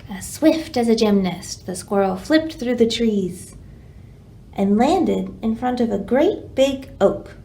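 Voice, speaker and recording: A woman hosting a library storytime, reading a children's picture book aloud in an expressive, dramatic way. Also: fairly high pitch, takes it slowly, vocal fry.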